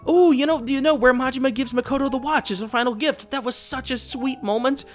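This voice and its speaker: A man acts out a starry-eyed, easily thrilled game fan in a video skit. The voice is pushed up high, gushing and naive. Music plays behind. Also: steady tone, fairly quick. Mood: mock excited. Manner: breathless, gushing, naive enthusiasm.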